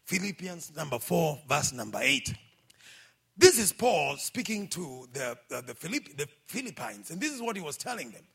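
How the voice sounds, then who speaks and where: Kenyan male preacher, middle-aged, high bright voice, a bit husky, animated delivery. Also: normal speed. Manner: lively, exhorting, calls out for amens, quotes scripture. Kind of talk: sermon.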